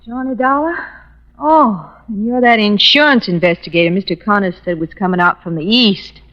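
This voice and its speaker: A woman's voice: medium pitch, moderate pace, lo-fi sound, lightly acted. A woman playing a polite, flustered young office secretary in old-time radio style, with a hesitant, explaining manner on an old recording. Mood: startled, relieved. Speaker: actress in a radio drama.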